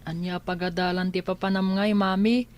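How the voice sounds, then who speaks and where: A woman's voice: lowish voice, level tone, moderate pace, darkish tone, close to an everyday voice. A woman voicing a young schoolboy, a dutiful son in a radio drama. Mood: complaining. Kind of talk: Ilocano radio drama acting.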